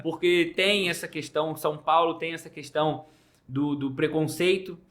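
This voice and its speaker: Bright, high-voiced man with a mild Carioca accent. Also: moderate pace. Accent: mild Carioca Brazilian Portuguese. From Rio de Janeiro, Brazil. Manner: joking, animated storyteller. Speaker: pediatric intensive care doctor, guest. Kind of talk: casual podcast chat.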